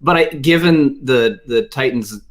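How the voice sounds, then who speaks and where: A man giving casual sports advice as a panelist, speaking haltingly and repeating words for emphasis. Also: medium pitch. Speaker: fantasy football panelist.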